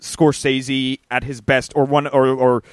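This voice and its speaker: A man's voice: mid-range voice, level tone, measured pace, no room sound. A man co-hosting a movie podcast who talks with emphasis and repeats his points. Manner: emphatic, repeats himself for effect. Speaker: podcast co-host. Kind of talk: casual movie review chat.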